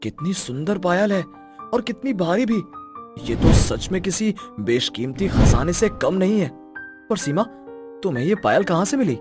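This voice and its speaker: Man acting a high-voiced, greedy village husband. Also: sing-song, normal speed, over music. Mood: eager. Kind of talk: Hindi animated story dialogue.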